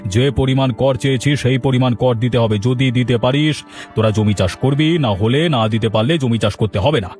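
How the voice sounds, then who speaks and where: Man voicing a haughty cartoon landlord, cruel, domineering, rapid speech, faint music behind. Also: mid-range voice, fairly even intonation, a little mellow, close to an everyday voice. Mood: scornful, angry. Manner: domineering, scornful. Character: cruel, haughty village landlord barking threats at poor farmers. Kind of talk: Bengali cartoon dialogue acting.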